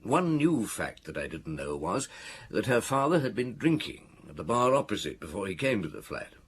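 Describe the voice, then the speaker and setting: A man playing a world-weary middle-aged chief inspector in an audio drama, with a husky voice and a dry, measured, probing manner. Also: on the low side, big pitch swings, full character voice. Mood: reflective recounting. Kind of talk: radio drama acting, dialogue and reminiscence.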